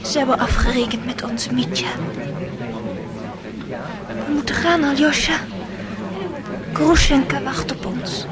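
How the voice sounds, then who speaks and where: Sad young lady in radio play, slow high voice, noisy crowd behind. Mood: resigned. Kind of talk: Dutch radio drama acting. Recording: old radio play, loud crowd noise.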